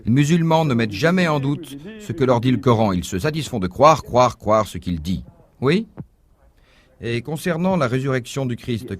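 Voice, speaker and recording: A man giving a measured, didactic religious lecture as a voice-over, posing rhetorical questions to the audience. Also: mid-range voice. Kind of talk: dubbed lecture, explaining a point.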